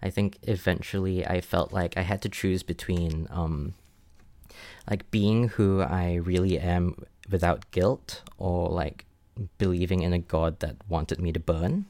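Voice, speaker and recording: A young person in their twenties from Singapore, telling their life story in a relaxed, casual way with many "like"s and restarts. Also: male voice, low voice, a little slow, dry recording. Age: 20s. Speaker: interview guest.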